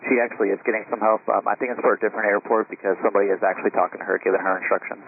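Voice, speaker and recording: A man's voice: fairly low pitch, fairly even intonation, rapid-fire. A man talking informally over an aviation radio. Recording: tinny, lightly noisy aviation radio.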